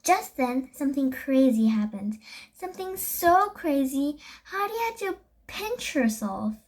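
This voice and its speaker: High young voice, child reader, slow pace, halting storybook read-aloud, self-correcting. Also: very animated. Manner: halting, stumbles and corrects words.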